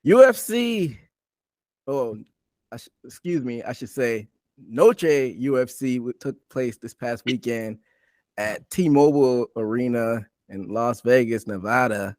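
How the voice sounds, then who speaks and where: A man's voice: mid-range voice, a little slow, a little mellow, no room sound. A man hosting a sports podcast, talking casually through a recap and putting questions out for discussion. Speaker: podcast host.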